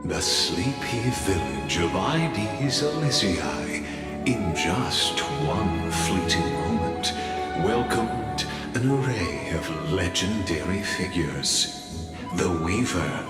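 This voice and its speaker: Epic fantasy game narrator: grave man, deep voice, extremely unhurried storybook delivery. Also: expressive. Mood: solemn. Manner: solemn, measured. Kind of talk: scripted dramatic narration. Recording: echoey hall reverb, music behind.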